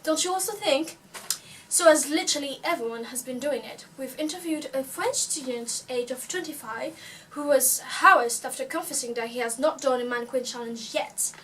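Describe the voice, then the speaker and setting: A woman with a high voice hosts a parody news segment, chatty and casual with plenty of fillers. She sounds a little far from the mic, with faint noise behind her. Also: normal speed, a bit bright. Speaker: news presenter.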